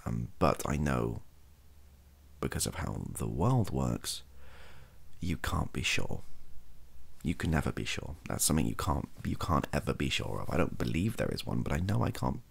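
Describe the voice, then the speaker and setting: A young British man chatting softly and casually in an ASMR style, rambling and hesitant, with a gravelly, husky voice. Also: extremely low pitch, big pitch swings, normal speed. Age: young adult. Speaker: content creator. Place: small cluttered bedroom, indoors.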